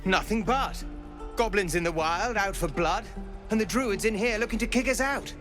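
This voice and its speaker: An actor playing a frustrated young refugee practicing swordplay, exasperated and snappish. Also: male voice, sits high, moderate pace, music in the background, close to an everyday voice. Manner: exasperated, snappish, self-critical. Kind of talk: video game dialogue.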